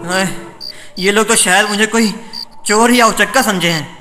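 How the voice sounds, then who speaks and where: Casting: male actor as an earnest, devout cartoon doctor, high-pitched and halting, dramatic exclamations, heard in long hall reverb. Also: pushed and bright. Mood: anxious. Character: earnest, God-fearing male doctor in distress, thinking aloud. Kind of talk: dramatized Urdu cartoon voice acting. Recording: long hall reverb, faint background noise.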